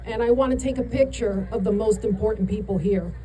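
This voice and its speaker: A woman's voice: medium pitch, fairly quick, low-quality recording. A middle-aged woman with a New York accent giving a punchy, rousing rally speech outdoors. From New York area. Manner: emphatic, punchy, building to shouts. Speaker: rally speaker.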